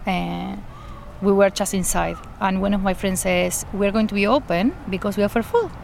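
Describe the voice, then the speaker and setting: A woman's voice: mid-range voice, normal speed, vocal fry, a little mellow. Woman, Argentine Spanish accent, earnest, interviewed outdoors in a garden, faint background noise. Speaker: garden coordinator. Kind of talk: interview, personal account.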